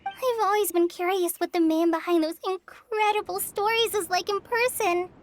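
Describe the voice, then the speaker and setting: A woman voicing an earnest, kindly young trainee forest ranger in an anime game, gentle and reassuring. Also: very high-pitched, normal speed, slight background noise. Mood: eager. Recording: music under the voice.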